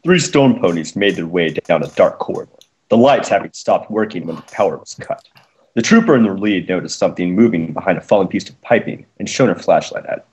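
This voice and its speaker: A man's voice: deep voice, animated, brisk, darkish tone. A man giving an amateur dramatic narration of fan fiction, with casual asides. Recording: noisy amateur mic with clicks.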